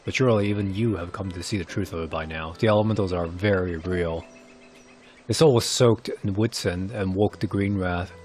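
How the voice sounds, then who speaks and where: A man voicing a weary, solemn old village elder who is gentle with children, speaking in grave, archaic phrasing as in fantasy game cutscenes. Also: deep voice, moderate pace, lightly acted. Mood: solemn, regretful. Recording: clear background noise.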